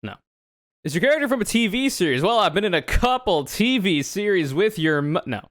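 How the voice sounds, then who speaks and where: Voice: mid-range voice, very animated, brisk, fairly bright tone, no echo, studio quality. A man in his twenties from the United States chatting casually on a livestream, reading questions aloud and answering with joking, sarcastic, rambling asides. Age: 20s.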